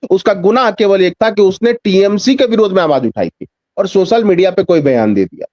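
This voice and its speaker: A man with a high voice gives political commentary in a dry-sounding interview. He speaks emphatically, repeating phrases for weight. He often drives his points with rhetorical questions. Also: animated, a little fast, a little mellow, dry recording.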